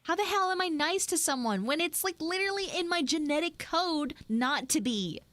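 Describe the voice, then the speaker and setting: A high, swooping anime schoolgirl played by a woman, bratty and dramatic in true tsundere fashion. She takes her time, whining and sulking theatrically as she chats to listeners in a live roleplay. The sound is close and dry. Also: animated, moderate pace, fairly bright tone, dry recording. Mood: whiny, sulky. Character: bratty, dramatic tsundere two-dimensional anime girl, student. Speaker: streamer, voice actor. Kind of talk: live improvised audio roleplay, chatting with listeners.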